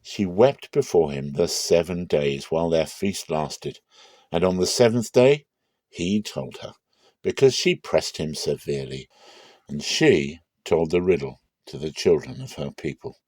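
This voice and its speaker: A man narrating a dramatic reading of scripture in a solemn, deliberate and expressive storytelling style. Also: extremely low pitch, dry recording.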